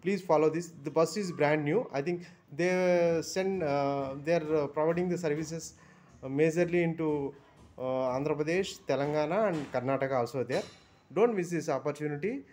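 A man with an Indian English accent gives a casual review vlog, stopping and restarting often with fillers. His voice sits fairly high for a man. A faint hiss runs under it. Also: darkish tone. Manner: halting, many fillers and restarts. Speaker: vlogger reviewing a bus trip. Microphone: phone camera held over items.

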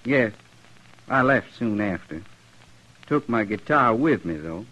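An actor playing a simple, meek little drifter, plain and humble, in a 1950s radio western. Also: male voice, lowish voice, lively intonation, slow, sharp tone, exaggerated voice. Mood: matter-of-fact. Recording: muffled old radio recording.

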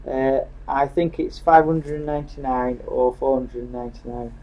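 A British man co-hosts a live stream, reading out comments in an informal, offhand way. His voice is fairly high for a man and the recording is slightly dull and lo-fi. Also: steady tone, normal speed. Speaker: co-host reading out viewer comments. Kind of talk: casual live stream chat. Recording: dull lo-fi sound.

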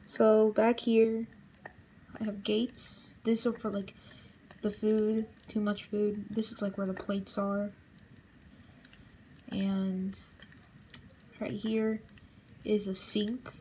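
A young woman casually narrating a walkthrough of a game build she made, halting and relaxed, with fillers. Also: mid-range voice, a little hiss or hum, poor audio. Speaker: channel host.

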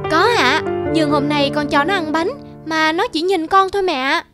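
A woman's voice: high voice, normal speed, music in the background, close to an everyday voice. An actress playing a curious, innocent little girl in a cartoon, questioning in a childlike way.

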